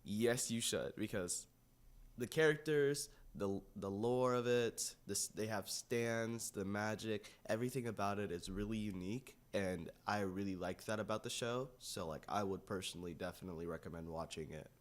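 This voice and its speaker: A man's voice: fairly low pitch, moderate pace. A young man co-hosting a podcast, chatting casually and informally. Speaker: podcast co-host.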